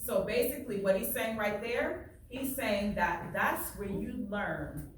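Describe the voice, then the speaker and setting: A woman reads aloud like a teacher, explaining and checking for understanding as she goes. Her delivery is unhurried. The recording is heavily reverberant and off the microphone, with faint noise. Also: mid-range voice, expressive. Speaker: teacher reading a book aloud. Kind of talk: read-aloud with plain explanations and check-in questions. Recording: very echoey room, distant, faint noise.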